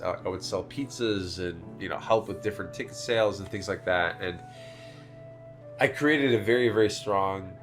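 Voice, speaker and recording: A man's voice: low voice, normal speed, a bit bright, faint music behind. Nasal man telling a rambling story. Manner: rambling storytelling with many fillers. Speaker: podcast host. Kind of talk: casual chat.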